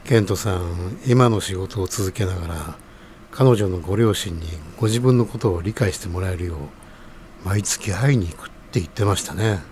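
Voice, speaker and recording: A man playing a kindly middle-aged bar owner in an audio drama, polite, soothing and measured. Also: deep voice, a little mellow, a little hiss or hum, close to an everyday voice. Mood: reassuring. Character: kindly older male bar owner, calm, fatherly, courteous.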